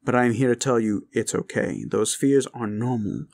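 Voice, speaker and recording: Male host, gravelly mid-pitched voice, earnest informal address to the audience. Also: normal speed, darkish tone. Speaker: audio roleplay creator and host. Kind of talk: informal heartfelt address to listeners.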